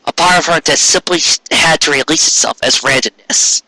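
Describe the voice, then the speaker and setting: A man reading a story aloud as narrator, with expressive, earnest delivery. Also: on the high side, moderate pace, no room sound, poor audio. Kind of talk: scripted fan fiction storytelling.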